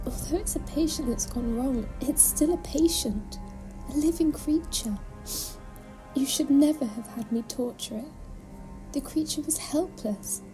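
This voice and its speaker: Woman playing a high-voiced, meek young-sister nun. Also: low-quality recording. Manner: meek, apologetic, hesitant. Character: timid, tender-hearted, obedient junior alien nun. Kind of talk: sci-fi audio drama acting.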